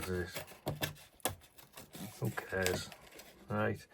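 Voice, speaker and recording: A man's voice: mid-range voice, breathy edge. A man hosting his own channel, talking casually and offhand while he works, with lots of fillers, recorded on a phone at an indoor workbench. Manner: rambling, offhand, many fillers. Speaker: channel host. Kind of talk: casual hands-on commentary.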